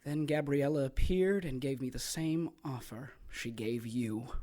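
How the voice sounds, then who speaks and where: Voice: mid-range voice, a little slow, mellow, close to an everyday voice. An actor playing a sadistic sorcerer and former soldier in an audio drama, taunting and theatrical, with gleeful menace. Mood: dramatic storytelling. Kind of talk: audio drama acting, storytelling monologue.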